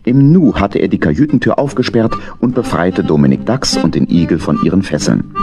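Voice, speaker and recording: A man's voice: low voice, lively intonation, a little fast, over music. A man narrating a children's audio drama in scripted storybook style.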